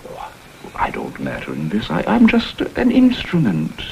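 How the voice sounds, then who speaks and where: An actor playing a smooth, insinuating blackmailer who poses as a humble go-between, with florid, theatrical phrasing, in a vintage crime drama. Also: male voice, on the low side, huge pitch swings, measured pace, heavily acted, poor audio. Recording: vintage TV soundtrack, faint noise.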